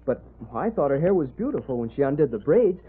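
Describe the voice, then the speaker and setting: An actor playing a kindly, earnest youth in an old-time radio western, sincere and plain-spoken. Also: male voice, fairly high pitch, sing-song, fast talker, phone-quality sound. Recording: noisy, band-limited vintage radio recording.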